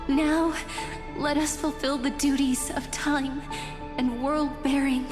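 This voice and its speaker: An actress voicing a gentle, hopeful young woman who watches over time in a fantasy game, speaking tenderly and deliberately. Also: high-pitched. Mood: resolute. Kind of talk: dramatic fantasy game voice acting. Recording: long reverb under clear background music.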